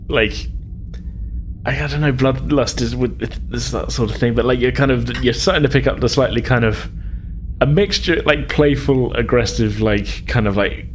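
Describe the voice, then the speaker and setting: A man's voice: medium pitch, a little hiss or hum. A man casually narrating a tabletop roleplaying game as game master.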